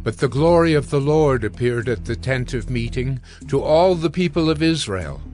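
A man narrating a dramatized audio Bible in a measured, solemn storytelling manner. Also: mid-range voice, animated, a little mellow, faint music behind.

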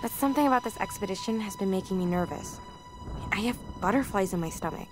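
Casting: actress for chatty, spirited young woman filmmaker, game diary, medium pitch, light music bed. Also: fast, natural voice. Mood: uneasy. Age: young adult. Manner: conversational, candid, expressive. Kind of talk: casual video diary in a game.

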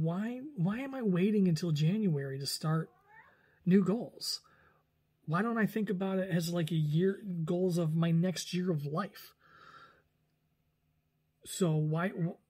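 A man in his forties chatting casually to camera at home, rambling with frequent restarts and self-corrections. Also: sits high. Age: 40s. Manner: rambling, animated, lots of restarts and hand gestures. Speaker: vlogger. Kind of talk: casual talking-head vlog. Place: small room indoors at home.

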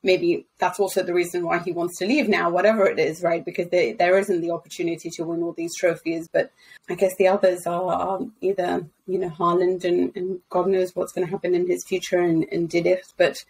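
A woman's voice: lowish voice, level tone, a little fast, darkish tone, slightly rough, poor audio. A woman in her thirties co-hosting a podcast over a video call, musing aloud and asking open questions. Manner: musing, asks open questions, laughs easily. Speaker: podcast co-host. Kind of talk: casual podcast discussion. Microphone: earphone mic on video call.

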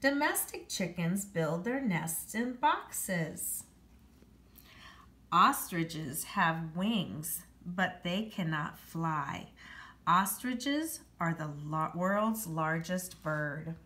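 Casting: American female elementary teacher, animated pitch, explanatory read-aloud style for kids, recorded in an echoey room. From United States. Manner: explanatory, repeats and rephrases facts for children. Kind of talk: read-aloud lesson for children.

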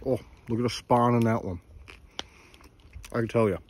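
A young man with a thick Newfoundland accent talks eagerly to his viewers while cooking and fishing outdoors. His voice sits at an ordinary pitch and is picked up close on a handheld camera, with a faint hiss of open air behind it. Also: steady tone, moderate pace, darkish tone. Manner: enthusiastic, chatty, addresses viewers as guys. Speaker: outdoor vlogger. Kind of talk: casual outdoor vlog chat. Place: outdoors by a river in snow. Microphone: phone or action camera held close.

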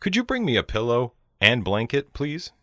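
A man reads scripted English practice phrases in a clearly enunciated, instructional way. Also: mid-range voice, huge pitch swings, moderate pace, fairly bright tone, no echo. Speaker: language lesson narrator.

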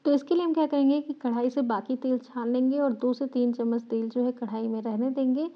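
A woman's voice: sits high, steady tone, a little fast, lo-fi sound. A woman walking through a recipe step by step in a voice-over, patiently explaining each step and repeating key points. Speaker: cooking channel host.

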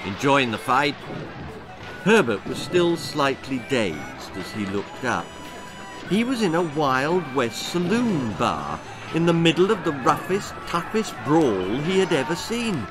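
A man in his sixties reading a children's story dramatically, with an expressive, measured, suspenseful delivery. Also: on the high side. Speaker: author reading own story. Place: small book-lined room at home. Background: music.

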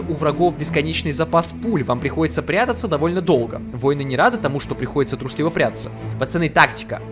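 A man hosting a let's play, reading the game text aloud and reacting in loose slang. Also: on the high side. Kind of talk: casual gameplay commentary. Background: game music.

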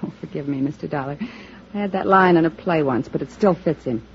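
Low-pitched, jaded wisecracking comedienne. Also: female voice, a little fast. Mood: bitter, sardonic. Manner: dry, wisecracking. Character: jaded, sardonic female comedienne. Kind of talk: 1950s radio drama acting. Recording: dull, noisy old radio recording.

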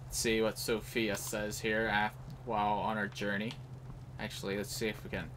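A man's voice: on the low side, completely flat delivery, normal speed, noisy background. A man giving casual, rambling live game commentary in an offhand way. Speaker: gameplay host.

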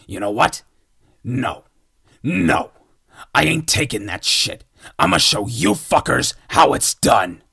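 A man's voice: medium pitch, big pitch swings, takes it slowly, creaky, long pauses, sharp tone, husky, dry recording, clean studio sound, full character voice. A man voicing a snarky, foul-mouthed ginger schoolboy gunslinger in a video game, cocky and slangy yet caring. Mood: indignant. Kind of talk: video game character voice acting.

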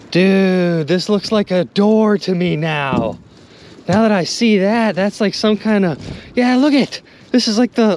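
Man, young adult, excited casual vlog narration, outdoors on a boat, very animated pitch. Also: a little hiss or hum. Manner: animated, exclaiming, chatty with viewers. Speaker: magnet fishing vlogger. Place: on a small boat on a river, outdoors.

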